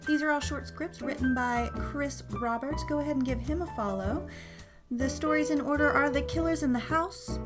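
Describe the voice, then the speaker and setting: A woman's voice: sits high, normal speed. A woman hosting a show, giving an upbeat scripted introduction. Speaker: show host introducing episode. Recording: loud music behind the voice. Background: loud music.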